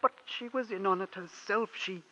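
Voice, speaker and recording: An actor playing an anxious, pleading husband who has killed, in 1940s radio style on an old broadcast. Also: male voice, high voice, moderate pace, bright, forceful tone, lo-fi sound. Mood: desperate, pleading.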